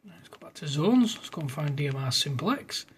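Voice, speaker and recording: Man with a higher-pitched voice. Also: very animated, moderate pace, slight background noise. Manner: matey, uses radio jargon and call signs. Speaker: amateur radio operator making a demo video. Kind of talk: casual radio chat. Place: indoors at a desk in a front room.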